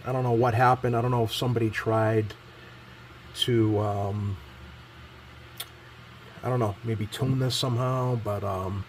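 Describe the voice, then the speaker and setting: A man giving casual, unscripted commentary as a repair technician, pausing often with many ums as he goes. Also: medium pitch, darkish tone, slight background noise. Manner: halting, hesitant delivery heavy with ums and pauses. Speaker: repair technician presenting his bench work.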